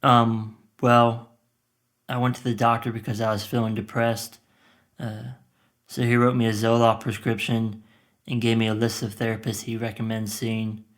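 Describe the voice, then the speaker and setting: Man acting flat, hesitant depressed teenage son. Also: lowish voice, moderate pace, natural voice. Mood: nervous. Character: withdrawn, depressed teenage son, tired and nervous. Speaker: actor in a scripted podcast drama. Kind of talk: naturalistic audio drama acting.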